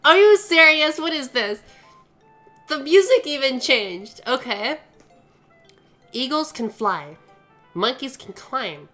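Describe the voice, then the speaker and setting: A woman live-streaming a game with casual, expressive commentary and reading bits of it aloud. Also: high voice, takes it slowly, pauses often, faint music behind.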